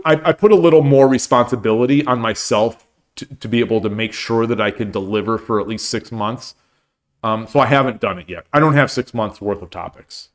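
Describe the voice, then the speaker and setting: A man hosting a casual livestream, chatting at length and answering chat comments, with many restarts and fillers. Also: medium pitch. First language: English. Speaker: streamer and host.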